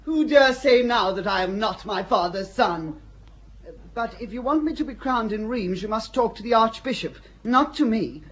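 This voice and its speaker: An actress playing the Dauphin, a weak and petulant young heir to the throne with a whiny manner. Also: female voice, fairly high pitch, expressive, normal speed, lightly acted. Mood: triumphant. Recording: dull audio with faint hiss.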